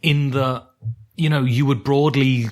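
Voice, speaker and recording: A man's voice: medium pitch, steady tone, measured pace. A man co-hosting a casual podcast about board games, joking and swearing freely, mocking himself. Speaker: podcast co-host.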